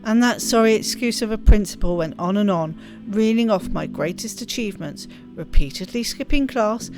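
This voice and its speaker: A woman's voice: a little high, lightly acted. A woman voicing a rebellious, sardonic teenage girl telling her story in a game. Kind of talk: first-person story reading in a game.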